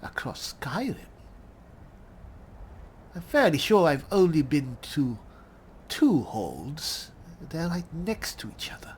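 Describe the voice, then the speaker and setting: Woman, in-character game commentary, very lively intonation, slow pace, theatrical, wry, faint noise and game ambience behind. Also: deep voice. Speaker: roleplaying game player, host.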